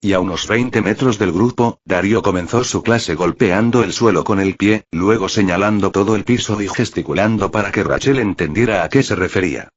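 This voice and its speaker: Un hombre de voz ronca que lee una historia como narrador de audiolibro, con texto preparado. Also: male voice, on the low side, fairly quick, few pauses, breathy edge. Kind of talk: scripted story narration. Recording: muffled, roomy, lo-fi audio.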